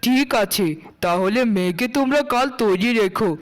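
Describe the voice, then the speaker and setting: A man's voice: high-pitched, moderate pace, natural voice. A man playing a pushy, chatty village matchmaker in a children's cartoon, familiar and persuasive.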